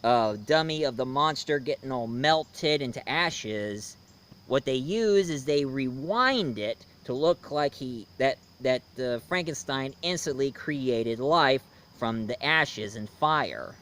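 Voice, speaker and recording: A man's voice: medium pitch, expressive, slow, fairly bright tone, slight background noise. A man giving a casual, rambling film review, speaking emphatically and opinionatedly straight to his viewers. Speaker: reviewer, host.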